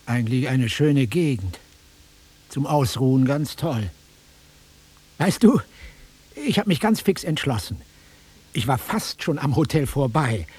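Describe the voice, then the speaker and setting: A worldly, impatient travelling salesman in middle age in a radio play. His voice is heavily rasped and medium in pitch, moving freely up and down as he talks in an offhand, grumbling way. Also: lively intonation, a little slow, long pauses, a little mellow. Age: middle-aged. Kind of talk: naturalistic radio drama acting.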